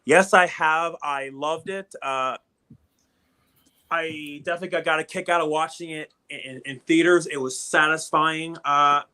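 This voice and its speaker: Bright-voiced man in his thirties chatting. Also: a little high, steady tone, moderate pace. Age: 30s. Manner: rambling, repeats words when starting sentences. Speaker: livestream host. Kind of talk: casual livestream chat.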